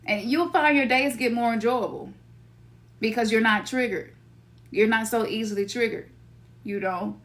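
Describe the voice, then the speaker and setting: A middle-aged woman with a Memphis Southern accent gives a loose, slangy spiritual talk to her listeners. Her voice sits a touch high for a woman. She keeps it conversational and now and then acts out her own inner voice. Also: moderate pace, pauses often, fairly bright tone. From Memphis, Tennessee. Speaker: spiritual teacher.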